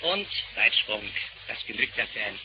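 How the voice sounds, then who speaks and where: A man's voice: fairly low pitch, big pitch swings, brisk. A man interviewing and interpreting on 1930s radio. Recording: noisy muffled 1930s radio broadcast.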